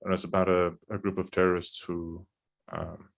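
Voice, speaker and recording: A man's voice: sits low, monotone, normal speed. A man who is a guest on a livestream, explaining a point in a rambling, hedging way. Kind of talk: casual discussion.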